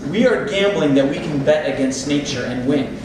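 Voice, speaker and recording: A man's voice: a little high, expressive, moderate pace, lightly acted. A man playing a smug, ambitious plastic surgeon on stage, boastful and self-assured in a rhetorical, salesman-like delivery. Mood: self-assured pitch. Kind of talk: theatrical monologue at a staged play reading. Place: indoor theatre space, distant microphone. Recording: echoey, very noisy room from far off.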